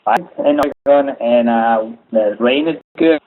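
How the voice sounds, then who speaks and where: A man from Chile giving a webinar lecture, speaking haltingly with many "uh" fillers. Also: mid-range voice. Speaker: engineering lecturer. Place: home office. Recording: tinny phone-like audio.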